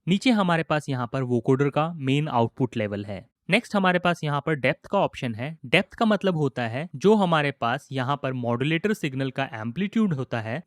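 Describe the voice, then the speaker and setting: An Indian man gives a software tutorial in a fairly high voice. He explains each control methodically, like an instructor narrating a screen recording. The sound is close and dry. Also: no echo.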